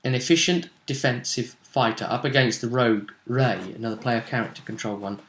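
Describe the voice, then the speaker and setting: A man hosting a tabletop roleplay vidcast, recapping fights in a casual but dramatic storytelling way with emphatic pauses. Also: medium pitch, a bit bright.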